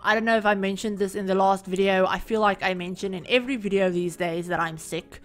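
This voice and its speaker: A woman recording a casual, rambling voiceover for a home-building game video, her voice a little croaky from being sick. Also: mid-range voice, fairly even intonation, brisk, faint music behind. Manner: rambling, chatty, many fillers. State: croaky from illness. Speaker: Sims build creator. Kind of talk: casual voiceover over gameplay.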